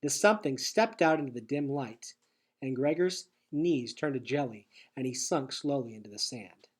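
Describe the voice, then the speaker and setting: A man reading a children's adventure novel aloud in an expressive, dramatic storytelling style. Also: medium pitch, normal speed.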